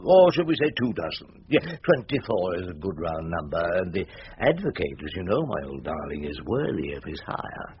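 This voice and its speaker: A wily older British barrister in a radio drama, speaking low with very lively intonation. He is wry and courtly, fond of rhetorical flourishes. The recording is dull, with some hiss and noise. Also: moderate pace. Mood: smug, sly.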